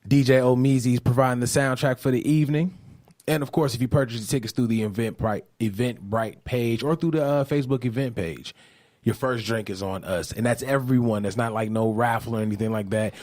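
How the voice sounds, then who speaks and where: A man's voice: mid-range voice, brisk, a little mellow, no echo, clean studio sound. A man co-hosting a podcast with casual, slangy banter and plugs. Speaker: podcast co-host.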